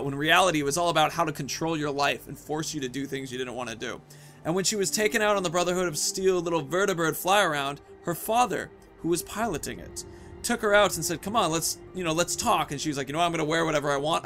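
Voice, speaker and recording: A man in his thirties giving chatty, animated Let's Play commentary, telling a roleplay story and stressing key words. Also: on the high side. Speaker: gaming video host.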